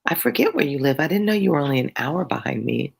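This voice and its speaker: A middle-aged tutor with a gravelly, slightly husky voice reads a classic novel aloud during a live stream. Between passages the tutor chats with viewers in a loose, playful, self-deprecating way. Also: medium pitch, sing-song, fast. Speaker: tutor hosting a live read-along. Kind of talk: reading a novel aloud, then chatting with viewers.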